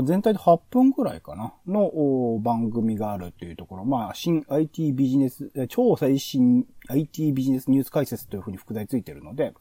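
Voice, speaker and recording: Fast, very mellow-voiced chatty man. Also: mid-range voice, no echo. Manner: chatty, thinking aloud. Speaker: podcast host. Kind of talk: casual podcast discussion, introducing and reviewing audio shows.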